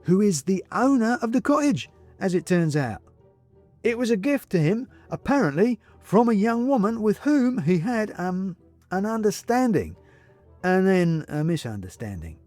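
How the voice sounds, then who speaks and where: Expressive male storyteller, lively pitch. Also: normal speed, dry recording, faint music behind. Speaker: audiobook narrator. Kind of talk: scripted story narration.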